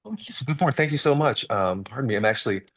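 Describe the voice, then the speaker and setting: A male actor and narrator speaking politely and haltingly on a panel, with many fillers. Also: mid-range voice, lively intonation, far from the mic. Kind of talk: panel chat.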